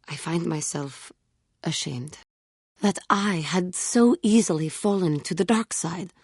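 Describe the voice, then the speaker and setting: Brooding alien jedi warrior woman for a video game, lowish raspy voice, very formal and remorseful, dry studio sound. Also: a little mellow, dry recording. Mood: ashamed, confiding. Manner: formal, solemn, measured speech without contractions. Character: brooding, remorseful female Cathar alien Jedi warrior, earnest and self-doubting. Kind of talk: video game character acting.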